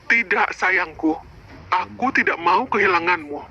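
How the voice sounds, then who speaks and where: A woman's voice: fairly low pitch, measured pace, slightly rough, full character voice. A woman playing a loving but overwhelmed woodcutter's wife in a fairy tale, speaking in a dramatic, lamenting way. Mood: reluctant, pleading. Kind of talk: fairy tale character acting. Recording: muffled, loud music underneath.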